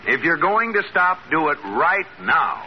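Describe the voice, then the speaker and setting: Exasperated radio husband with swooping, measured delivery. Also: male voice, fairly high pitch, big pitch swings, over loud music. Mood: exasperated, firm. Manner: deliberate, reproving. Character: exasperated, firm husband, skeptical of his wife. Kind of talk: 1940s radio situation comedy acting. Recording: noisy muffled old radio recording.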